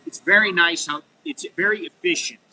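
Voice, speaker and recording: A man's voice: sits high, moderate pace, sharp tone, slight background noise, poor audio. A middle-aged American man talking frankly in a rambling, unscripted monologue, full of restarts and "you know.". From United States. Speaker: vlogger.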